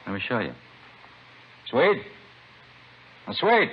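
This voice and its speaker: A man's voice: mid-range voice, wildly swooping pitch, takes it slowly. An American man playing a drug dealer in a vintage radio drama, placating and bossy by turns. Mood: confident. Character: smooth-talking male drug dealer, placating but bossy. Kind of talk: 1950s radio drama acting. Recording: muffled, hissy vintage radio recording.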